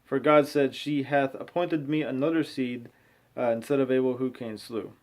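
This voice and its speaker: A man in his thirties reading aloud and commenting casually, with an informal, halting delivery and frequent self-corrections. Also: medium pitch, fairly even intonation, darkish tone. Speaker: vlogger reading and commenting on scripture. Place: small room at home. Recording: clearly noisy amateur home recording.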